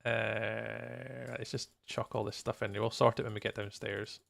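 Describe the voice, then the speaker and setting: A man's voice: on the low side, flat delivery, moderate pace, vocal fry, fairly bright tone, a little hiss or hum. A man chatting casually on a gaming livestream, thinking aloud in a playful, rambling way. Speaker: live streamer, host.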